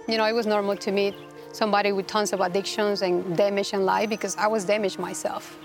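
A woman from Rica, a guest telling her story in a halting, earnest way with many restarts. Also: medium pitch, little pitch movement, music in the background. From Costa Rica. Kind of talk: personal testimony interview.

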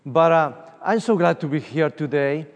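A middle-aged evangelist from Uruguay speaks English with a Spanish accent, his voice sitting a little high for a man. He tells stories in an animated way and often pauses on "uh". The recording sounds distant, with long reverb from a large hall. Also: dull audio. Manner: animated, storytelling, frequent fillers. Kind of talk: conference sermon with personal stories. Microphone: room microphone from a distance.

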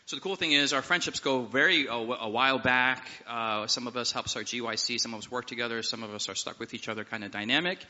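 A male pastor introduces his panel with easy humor and a casual, chatty delivery. His voice is very bright and sharp. It rings with a long hall reverb and sounds dull and lo-fi. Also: mid-range voice, fast, few pauses. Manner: relaxed, joking, conversational. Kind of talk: opening prayer then panel introductions. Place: seminar hall with live audience.

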